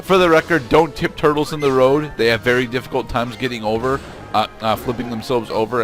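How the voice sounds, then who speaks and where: A man giving explanatory let's play commentary in a halting way, often restarting his phrases. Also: mid-range voice, a bit bright. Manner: halting, hesitant, with repeated restarts.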